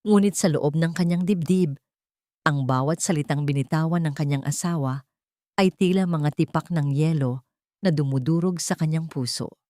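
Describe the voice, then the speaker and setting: Female narrator for dramatic fiction, lowish register, scripted read, dry sound. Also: warm tone, dry recording.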